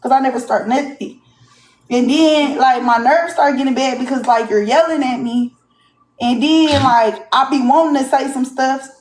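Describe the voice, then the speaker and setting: A woman's voice: high-pitched, normal speed, darkish tone. A woman on a reality show talking bluntly and profanely in rambling casual speech. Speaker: reality show cast member. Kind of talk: casual confessional chat. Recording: roomy clip with faint noise.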